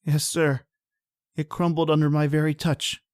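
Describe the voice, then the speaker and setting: A man's voice: on the high side, level tone, normal speed, a little mellow, lightly acted. A man playing a timid, fearful, deferential manservant in an old-time radio horror drama. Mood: frightened. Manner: anxious, deferential. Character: timid, fearful manservant named John, easily despairing.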